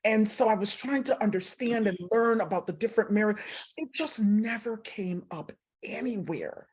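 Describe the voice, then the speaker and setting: Slightly husky woman, thoughtful scholarly guest. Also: medium pitch, normal speed, a little mellow. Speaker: professor and author guest. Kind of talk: explanatory interview conversation.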